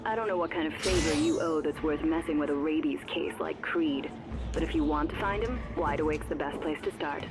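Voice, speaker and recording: A woman's voice: low-pitched, brisk, close to an everyday voice. An actress playing a brash, world-weary female informant in video game cutscene dialogue, talking bluntly and informally. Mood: urgent, blunt. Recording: loud music over the voice.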